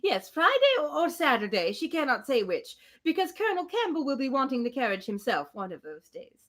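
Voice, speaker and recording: A good-natured, chattering spinster from a classic novel, played by a woman reading aloud. The voice is high and swings up and down constantly as it rambles on, effusive and breathless. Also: huge pitch swings, brisk, a bit bright, heavily acted. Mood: gushing, excited.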